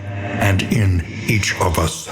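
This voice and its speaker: A man playing a brooding, eloquent mentor who narrates a dark video game in a solemn, theatrical, portentous manner. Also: low voice, slow, long reverb tail, over music. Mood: grave, reflective. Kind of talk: dramatic scripted game narration.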